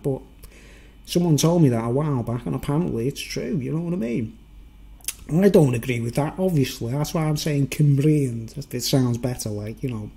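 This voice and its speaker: A man's voice: medium pitch, warm tone. A man from the Wirral with a Merseyside accent, giving an informal, digressive, unscripted monologue to viewers and often tagging on questions for agreement. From Wirral, north-west England. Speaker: vlogger.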